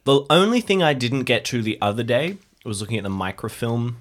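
A man's voice: medium pitch, very animated, a little fast. A man playing in an improvised tabletop audio drama, talking casually in a hesitant, chatty way. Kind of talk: casual improvised role-play.

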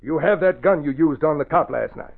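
Crooked boss in 40s radio drama, gravelly and bossy, muffled old recording. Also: male voice, a little high, fairly quick. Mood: commanding, urgent. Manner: blustering, commanding. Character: angry, nervous, scheming crooked politician and crime boss. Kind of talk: 1940s radio drama acting.

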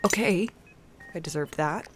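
A woman's voice: fairly low pitch, measured pace, lightly acted. A woman playing a contrite, humbled character in an audio drama. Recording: noisy background.

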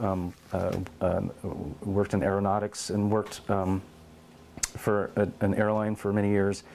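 A middle-aged man in an oral history interview at his home, telling lively stories and acting out quotes. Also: fairly low pitch, slight background noise. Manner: animated storyteller, acts out quotes and meows.

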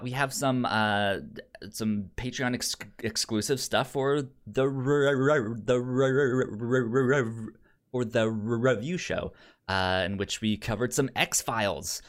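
A man's voice: medium pitch, takes it slowly, a bit bright. A man co-hosting a casual film podcast, stuttering and often repeating sounds and syllables as he talks. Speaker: podcast co-host.

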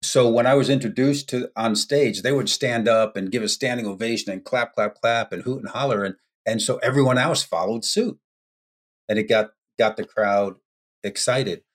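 An American man, a podcast guest, telling stories in an unhurried, anecdotal way with frequent ums. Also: medium pitch. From United States. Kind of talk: storytelling in a podcast interview.

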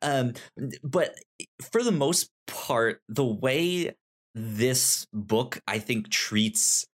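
A man discussing casually as a podcast co-host, halting and repeating words as he forms his thoughts. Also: mid-range voice, animated.

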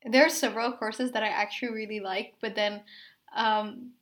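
A woman's voice: fairly high pitch, steady tone, normal speed, a bit bright. Chatty young woman from Indonesia. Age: young adult. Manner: chatty, with many fillers like "um" and "like". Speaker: podcast guest, university student. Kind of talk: casual chat.

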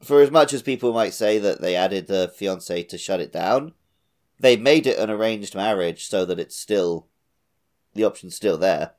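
A man's voice: lowish voice, moderate pace, a little mellow. A man co-hosting a casual video game podcast, sometimes repeating words as he thinks aloud. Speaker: podcast co-host.

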